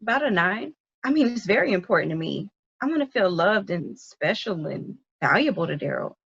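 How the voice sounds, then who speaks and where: A woman's voice: medium pitch, sing-song, normal speed, vocal fry, a bit bright, husky, no room sound, full character voice. A woman acting a hurt young wife, muffled sound. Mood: exasperated. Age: young adult. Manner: emotional, complaining. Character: hurt, exasperated young wife longing for romance. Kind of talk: dramatic table read.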